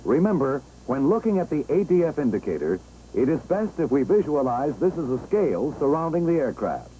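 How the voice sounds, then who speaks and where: An American man narrates an old 1960s training film with a mellow, relaxed tone. His pitch moves in a lively way as he explains each step in a measured manner. An engine-like noise sits faintly behind him on the aged soundtrack. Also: low-quality recording.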